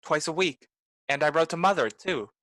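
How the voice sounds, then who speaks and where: Radio play juvenile lead: female actor as earnest, loving young bridegroom with a deep voice. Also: lively intonation, normal speed, a bit bright, no echo, studio quality. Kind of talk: 1940s radio play acting.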